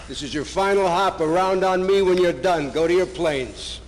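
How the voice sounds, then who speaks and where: A man playing a brisk, authoritative Navy commanding officer giving clipped briefings in a video game. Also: high voice, a little hiss or hum, close to an everyday voice. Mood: challenging, curt. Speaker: actor in a video game cutscene. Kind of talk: military briefing dialogue.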